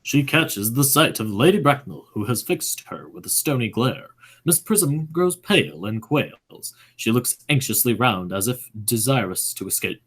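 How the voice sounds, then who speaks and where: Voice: mid-range voice, expressive, a little slow, breathy edge. A narrator reading stage directions aloud for a radio play, in a scripted narration style.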